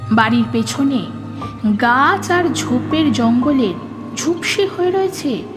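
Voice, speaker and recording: Female narrator, slow delivery, very lively intonation, suspenseful horror storytelling, expressive, background music. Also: high voice.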